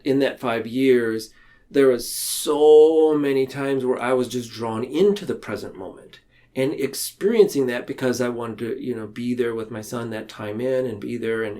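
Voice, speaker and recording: A man speaking as a podcast guest in a reflective, conversational way, earnest and halting, tending to ramble. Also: mid-range voice, warm tone. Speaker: podcast guest, mental health counselor. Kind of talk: reflective conversational interview talk.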